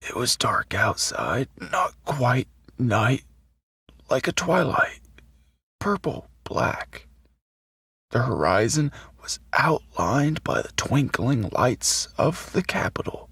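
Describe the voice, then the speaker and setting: A man voicing a shaken, numb student survivor who gives a halting testimony in a raspy voice, like an audio drama monologue. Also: medium pitch, very animated, a bit bright, no room sound, clean studio sound, heavily acted. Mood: numb recollection. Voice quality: raspy, breathy. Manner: halting, numb, throat-clearing.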